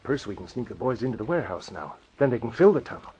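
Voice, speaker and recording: A jittery male henchman in a radio crime serial, eager to please his boss. The voice is husky and swings about excitably at a quick clip. The sound is dull and dated. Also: mid-range voice. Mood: anxious. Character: nervous, fawning male henchman. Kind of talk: old-time radio drama acting. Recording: dull vintage radio recording.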